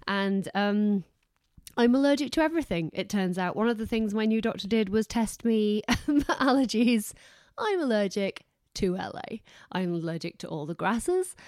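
A woman's voice: medium pitch, darkish tone, dry recording, studio quality. A woman hosting a podcast from home, chatty and self-deprecating, who is losing her voice. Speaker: podcast host. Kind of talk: casual podcast chat.

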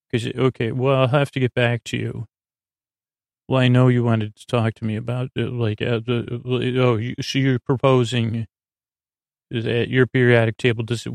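A man with a creaky voice hosting a sleep podcast, giving a soothing, halting bedtime monologue that keeps meandering off into digressions. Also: medium pitch, dry recording, studio quality. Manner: lulling, soothing. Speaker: podcast host. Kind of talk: rambling bedtime monologue to put listeners to sleep.

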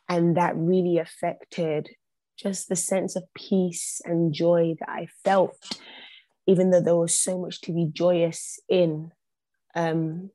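A woman's voice: on the low side, mellow, dry recording. A young woman, a podcast guest whose first language is not English, speaking earnestly and repeating phrases for emphasis. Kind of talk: reflective podcast conversation.

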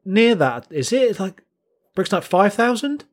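A man's voice: high voice, sing-song, moderate pace. A man with a Buckinghamshire countryside accent chatting on a podcast, often repeating words as he gathers his thoughts and reacting with enthusiasm. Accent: Buckinghamshire, English countryside. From Buckinghamshire area, England. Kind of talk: casual podcast chat.

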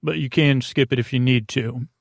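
A man with a mid-pitched voice full of vocal fry talks in a very dry space. He hosts a bedtime podcast as a rambling monologue. His delivery meanders and halts, with stammered starts and frequent detours away from his own point. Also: steady tone, dry recording. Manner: meandering, halting, stammering with repeated self-interruptions. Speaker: podcast host.

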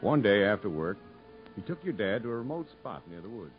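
A man's voice: lowish voice, very animated, fairly quick. A reflective, kindly narrator from 1950s radio drama. His mid-range voice rises and falls expressively as he tells a family tale, with music behind and a muffled vintage sound. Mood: storytelling. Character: kindly, reflective man telling a boy family history. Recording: dull 1950s radio broadcast recording.

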